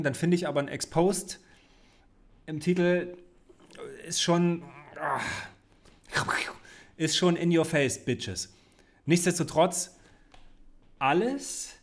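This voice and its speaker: Chatty man rambling into a desk mic. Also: on the high side. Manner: rambling, chatty, frequent self-corrections. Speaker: reaction video host. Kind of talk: casual reaction commentary. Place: home studio with desk and shelves. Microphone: studio mic on desk, headphones.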